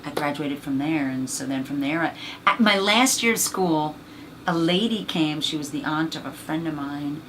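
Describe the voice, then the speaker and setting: A woman with a husky, low voice, chatting as an interview guest about her acting career, rambling and filling gaps with "you know.". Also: normal speed, slight background noise. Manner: rambling, many fillers. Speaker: actress and voice actor guest. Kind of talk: casual interview chat.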